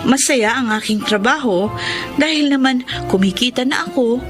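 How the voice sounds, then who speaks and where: An actress playing a cheerful, grateful young tour guide with a Filipino accent in a radio drama. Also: female voice, high voice, moderate pace, music in the background, lightly acted. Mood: polite, grateful.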